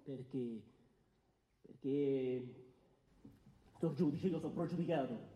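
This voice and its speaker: A man's voice: mid-range voice, slow, warm tone, echoey room, slight background noise, close to an everyday voice. An actor playing an uneducated, evasive male defendant who hesitates and repeats his words while testifying in a dramatised trial. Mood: flustered. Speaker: actor in a radio courtroom play. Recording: dull lo-fi radio sound.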